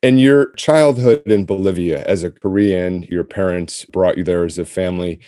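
A man co-hosting a podcast, speaking in a measured, formal way as he opens the show and interviews his co-host. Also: low-pitched, mellow, no room sound, clean studio sound. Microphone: remote call-in setup.

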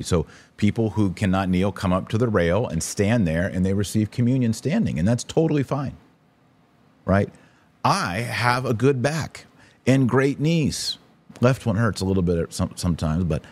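Male podcast host, low-pitched voice. Informal, emphatic, opinionated religious talk delivered straight to listeners. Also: a little mellow. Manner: informal, emphatic, slangy, direct address. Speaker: online course teacher. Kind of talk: casual opinionated religious commentary to an audience.

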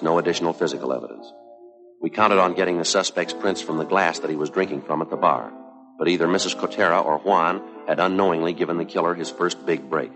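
1950s police sergeant: low voice, clipped deadpan case narration, music on an old TV soundtrack. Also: male voice. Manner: deadpan, matter-of-fact. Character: terse, businesslike male police sergeant narrating his case. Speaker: lead detective and narrator.

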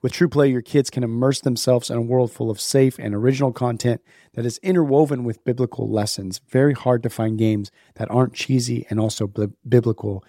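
A man with a hoarse, worn voice from being sick, casually opening a podcast and reading sponsor messages. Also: medium pitch, steady tone, fairly quick, dark tone, no echo, studio quality. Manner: upbeat, chatty, laughs at himself. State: hoarse, sick, losing his voice. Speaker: podcast host.

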